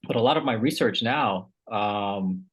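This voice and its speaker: A man's voice: on the low side, a little slow, a bit bright, slightly rough. A man from Vietnam, a researcher guest in a casual interview, speaking thoughtfully and often repeating words as he searches for the right phrasing.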